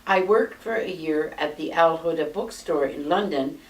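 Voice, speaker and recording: An American woman in her eighties reads her own life story aloud from notes in a measured, steady way, seated in a small indoor room. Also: deep voice. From United States. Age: 80s. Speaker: memoir narrator. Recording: roomy sound from a distant mic.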